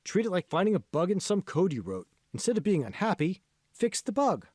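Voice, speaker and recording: A man voicing an earnest, forceful male mentor who lectures emphatically in an audiobook. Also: sits high, sing-song, brisk, no echo, studio quality, voice pushed up. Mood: earnest, encouraging. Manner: emphatic, instructive.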